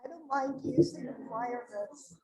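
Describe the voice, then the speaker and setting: A soft-spoken woman doing a microphone check before giving a lecture in a congregation hall. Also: high voice, measured pace. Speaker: guest lecturer.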